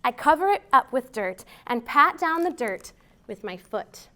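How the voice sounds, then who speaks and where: High-pitched American woman, unhurried storytime reader. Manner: expressive, deliberate delivery for young children. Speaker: library storytime host. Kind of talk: children's storytime read-aloud.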